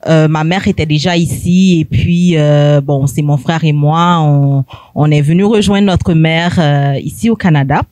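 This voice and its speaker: A woman's voice: sits low, steady tone, a little slow, mellow, no room sound, studio quality. A young woman from Haiti, a guest telling her story in a hesitant way, with many fillers. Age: young adult. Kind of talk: hesitant personal testimony.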